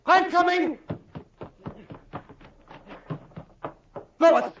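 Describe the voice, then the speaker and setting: High-voiced, excitable young radio hero. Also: male voice, moderate pace, a little hiss or hum. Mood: frantic. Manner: urgent, excitable. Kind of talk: 1940s radio horror acting. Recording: roomy old-time radio recording.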